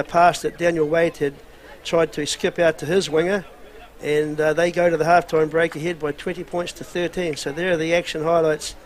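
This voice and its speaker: A man commentating a club rugby match outdoors, speaking in a relaxed, halting way with many fillers. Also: a little high.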